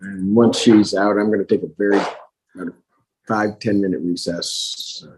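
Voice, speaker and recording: A man's voice: lowish voice, steady tone, normal speed, background noise. A man presiding as a judge, talking informally and plainly from the bench in a courtroom.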